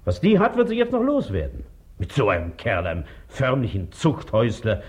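A man playing an educated, easygoing gentleman doctor in a radio play, conversational and genial, exclaiming readily when affronted. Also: medium pitch, huge pitch swings, brisk. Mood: indignant. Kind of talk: 1950s German radio play acting. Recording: dull, band-limited 1950s radio recording.

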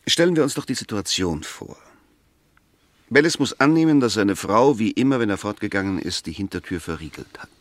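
Male actor as insistent defense barrister in 1960s radio drama: lowish pitch, highly varied intonation, argumentative. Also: lively intonation, brisk, dry recording, natural voice. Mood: emphatic, insistent. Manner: emphatic, argumentative, rhetorical. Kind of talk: 1960s German radio play acting.

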